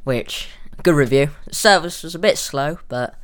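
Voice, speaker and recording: A man's voice: a little high, normal speed, fairly bright tone, clear, resonant tone. A young man chatting casually and a little hesitantly as a podcast host. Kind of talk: casual chat.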